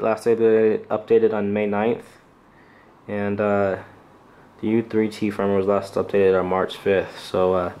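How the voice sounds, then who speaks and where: A man giving an unscripted product review, speaking in a hesitant, halting, off-the-cuff way as a channel host. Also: on the low side, a little mellow, a little hiss or hum.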